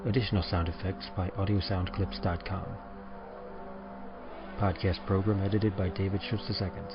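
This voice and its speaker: A man's voice: sits low, steady tone, normal speed. A man reading scripted podcast credits as an announcer. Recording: dull, muffled audio. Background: music.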